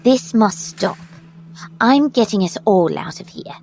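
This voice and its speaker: An actress playing a resolute, composed rescuer in an audio drama, measured and creaky-voiced. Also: female voice, mid-range voice, animated, darkish tone, soft background music. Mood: determined. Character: resolute, composed female rescuer questioning a child gently.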